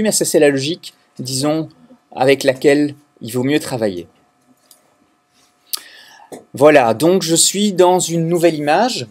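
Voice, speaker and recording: A man from Belgium teaching a software class to students, explaining step by step with frequent restarts and hesitations. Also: medium pitch. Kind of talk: recorded classroom lecture.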